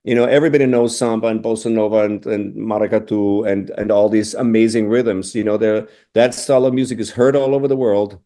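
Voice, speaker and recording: Casting: middle-aged man from austria, warm dark tone, reflective guest, lots of "you know". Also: fairly low pitch, fairly even intonation, fairly quick, hardly any pauses. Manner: thoughtful, restarts phrases, frequent "you know". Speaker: interview guest, drummer. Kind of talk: casual interview answers.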